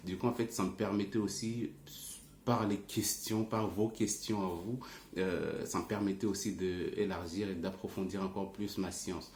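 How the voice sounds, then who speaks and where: Deep-voiced man, halting, in an echoey room. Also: a little mellow. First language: not French. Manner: halting, searching for words. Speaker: religious teacher and ruqyah healer. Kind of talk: informal explanatory talk to online followers. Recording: roomy echo, faint background noise.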